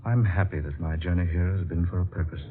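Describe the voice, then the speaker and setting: A man's voice: sits low, quick pace, faint music behind, lightly acted. A man acting an earnest, dignified scientist with formal, theatrical phrasing in an old-time radio drama. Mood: solemn. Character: earnest, dignified male scientist doctor, hero in love. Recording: muffled, noisy old radio recording.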